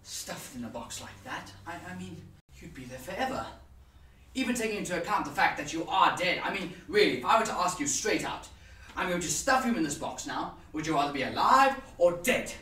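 A young man acting a morbidly musing, rambling character in a halting theatre monologue. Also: fairly high pitch, expressive, pushed and bright. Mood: uneasy musing. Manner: halting, conversational musing with swings in volume. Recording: roomy, distant mic with noise.

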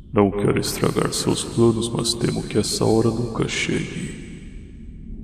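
Giant jellyfish game creature male actor: solemn, eerie, Brazilian Portuguese, low and very creaky, echoing with faint noise. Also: darkish tone. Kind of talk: fan-dubbed horror game monologue. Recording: reverberant hall, faint noise.

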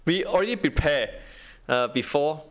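A man who is not a native English speaker, giving a casual gameplay walkthrough in halting, repetitive short phrases. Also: a little high, expressive. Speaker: gaming guide host.

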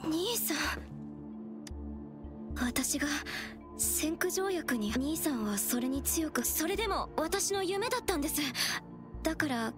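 A woman playing a polite anxious young woman, high voice, loud game music. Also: lively intonation, measured pace, pauses often. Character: young woman searching for her brother. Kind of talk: Japanese game dub acting.

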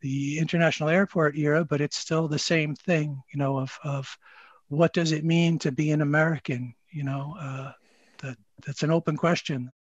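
A man speaking as a historian on a panel, explaining in a halting, thoughtful, scholarly way over a video call. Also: a little high, fairly even intonation, no echo. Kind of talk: unscripted panel discussion, explanatory. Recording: dull, lo-fi video-call audio.

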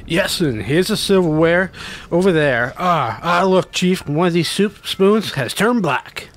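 A man's voice: high-pitched, expressive, a little slow, fairly bright tone, exaggerated voice. A man playing an eager everyday fellow in an amateur radio mystery drama.

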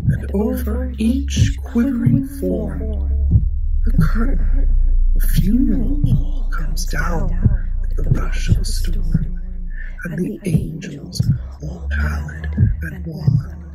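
Casting: woman reader, extremely slow, swooping dramatic gothic poem delivery. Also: mid-range voice, wildly swooping pitch, over loud music, low-quality recording. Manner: theatrical, breathy whispering at times. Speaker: actor reading a poem. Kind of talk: dramatic gothic poetry recitation.

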